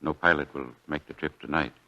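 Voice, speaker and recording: A somber hospital doctor in a vintage radio play. His voice is extremely deep and measured, full of regret, on a dull old recording. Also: fairly even intonation, pushed and bright, full character voice. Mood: grave. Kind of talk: 1940s radio drama acting.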